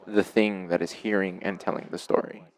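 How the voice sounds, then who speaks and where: A man's voice: sits low, flat delivery, brisk. A man making reflective, philosophical statements.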